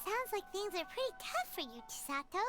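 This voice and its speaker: A woman voicing a tiny, excitable, childlike fairy companion in a fantasy video game, in a squeaky voice. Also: brisk, over loud music. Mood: friendly, concerned.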